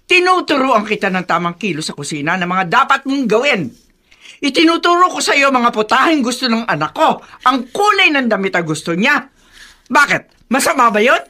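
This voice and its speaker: An actress playing a controlling, suspicious older mother-in-law in a Filipino radio drama, imperious and scolding, quick to take offence. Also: fairly high pitch, very animated, pushed and bright, exaggerated voice. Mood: self-righteous.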